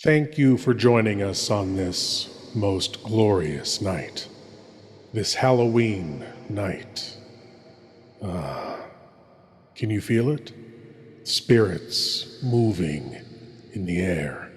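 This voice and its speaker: A man playing a sinister, courtly horror host, speaking in a hushed, deliberate, theatrical old-time radio manner with dramatic pauses. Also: fairly low pitch, expressive. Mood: hushed, eerie relish. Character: sinister, courtly male horror host welcoming listeners into darkness. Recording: long hall reverb under music.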